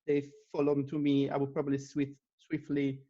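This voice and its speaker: A man's voice: fairly high pitch, completely flat delivery, moderate pace, warm tone, highs cut off. A man from Italy, a native Italian speaker with an Italian accent, answering questions as an interview guest in a conversational style. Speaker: interview guest, data scientist.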